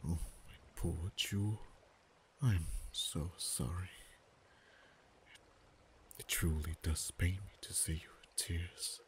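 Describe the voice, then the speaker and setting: A man voicing a protective male dragon in human form, tender yet teasing, speaking softly and often in a whisper for an ASMR roleplay. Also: deep voice, steady tone, slow pace, pauses often, slight background noise. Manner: soft, hushed, often whispered.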